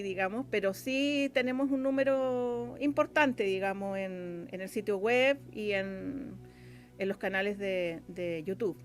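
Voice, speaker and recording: A Chilean woman answering as an interview guest, explaining casually in hesitant, circling phrases. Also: mid-range voice. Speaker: radio drama scriptwriter, interview guest.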